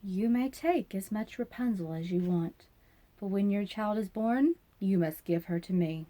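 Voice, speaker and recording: A woman's voice: mid-range voice, unhurried, warm tone, lightly acted. A woman voicing a possessive, scheming witch in a storybook, commanding and menacing. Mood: bargaining.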